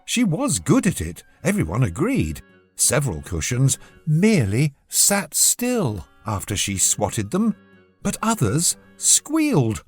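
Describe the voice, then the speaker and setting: Children's story narrator, female: measured read-aloud pace, lots of melodic rise and fall, scripted. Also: low voice, breathy edge.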